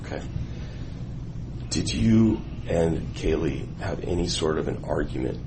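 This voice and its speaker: A man working as a police investigator, questioning someone in a methodical, halting and deliberate way. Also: very deep voice, lively intonation. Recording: noisy interview room recording.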